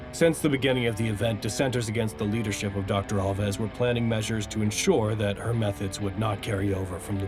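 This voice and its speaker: A man's voice: medium pitch, lightly acted. A man acting in an audio drama as an earnest, exasperated staffer caught between feuding factions. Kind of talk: audio drama acting with formal log narration.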